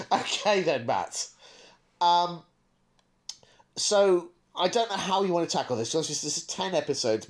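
A man with a medium-pitched voice hosts a casual podcast discussion. He talks in a discursive way, working out his thoughts as he goes. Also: darkish tone. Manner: discursive, thinking aloud. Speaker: podcast host. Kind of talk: casual podcast review chat.

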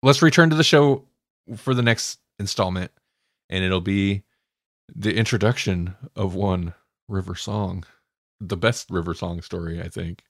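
Gravelly low-voiced man, casual podcast host. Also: unhurried, a bit bright, no echo, studio quality. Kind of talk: casual review chat.

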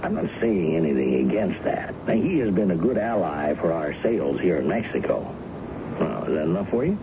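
A man's voice: deep voice, animated, a little fast. An actor playing an easygoing middle-aged father with a wry, fond manner in a radio drama. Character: easygoing, fond father advising his daughter. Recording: muffled, very noisy old radio broadcast.

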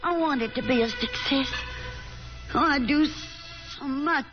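A woman's voice: high-pitched, takes it slowly, music in the background. An actress playing a fussy, chatty Southern mother in an effusive, genteel, nagging manner, in a 1950s radio drama. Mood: indignant, hopeful. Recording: muffled vintage 1950s radio broadcast.

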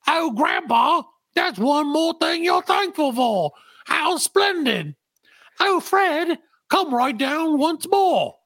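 A man reading a gushing young woman's lines in a high falsetto, sing-song and excited. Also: slow, no room sound, exaggerated voice.

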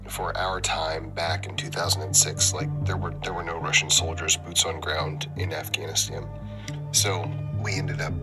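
A middle-aged man telling his own story in a loose, rambling way, full of likes and you knows, often dropping to a near whisper. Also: very deep voice. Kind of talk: casual spoken recollection. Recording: music bed under the voice.

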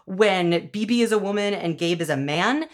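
A woman hosting a podcast, chatting casually in an animated, emphatic and enthusiastic way. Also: mid-range voice, normal speed. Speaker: podcast host and audio drama showrunner. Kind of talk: casual interview chat.

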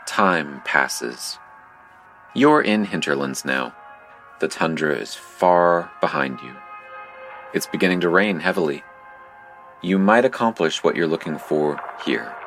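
Very deep, slow, ominous game guide. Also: male voice, expressive, fairly bright tone, faint music behind, full character voice. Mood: grave, detached. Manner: deliberate, grave, poetic. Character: solemn, ominous male game-master guide called the Dictator. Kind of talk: poetic second-person narration in surreal audio drama.

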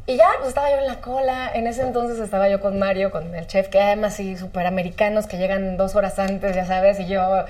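Animated woman telling a story. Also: mid-range voice, brisk, few pauses. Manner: animated storyteller, acts out quoted lines. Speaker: guest. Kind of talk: casual chat, telling an anecdote.

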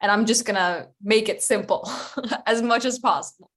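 A woman from Ukraine, a recruitment consultant, giving a conversational presentation over a video call, chatty with small laughs and quick restarts. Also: a little high, a bit bright.

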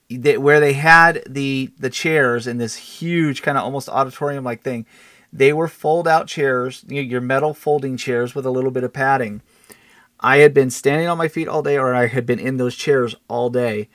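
A man with a mid-pitched voice chats as a podcast co-host. He rambles through stories in a halting way, interrupting himself and chuckling as he goes. The sound is close and dry. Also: a bit bright, no room sound. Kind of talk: casual chat and storytelling.

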